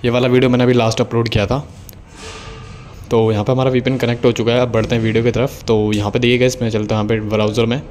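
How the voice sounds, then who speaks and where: A man's voice: medium pitch, level tone, rapid-fire, darkish tone. A man giving a casual, instructional tutorial voice-over, explaining things step by step in a repetitive way. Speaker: video editing tutorial host.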